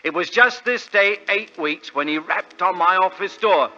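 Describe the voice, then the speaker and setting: An actor playing a proud, naive middle-aged London pawnbroker, British, plain-spoken and hesitant, in 1940s radio drama style. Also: male voice, high voice, normal speed, bright, forceful tone. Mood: earnest, rambling. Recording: dull vintage radio recording.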